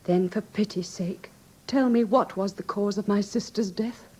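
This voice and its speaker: A woman's voice: medium pitch, moderate pace, darkish tone, natural voice. An actress with an English accent playing a well-bred, anxious young lady, polite and earnest, in a classic radio drama. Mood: anxious, trusting.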